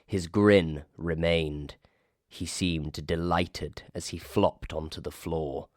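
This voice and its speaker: Deep-voiced Northern English man reading aloud. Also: takes it slowly, no echo, clean studio sound. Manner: lively, switches to high voices for quoted staff. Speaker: reader. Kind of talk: storytelling reading of a comic ghost story.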